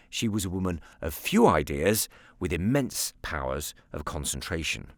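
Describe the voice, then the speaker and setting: A British man narrating a story as an audiobook reader, expressive, measured and wryly articulate. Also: lowish voice, no echo, studio quality.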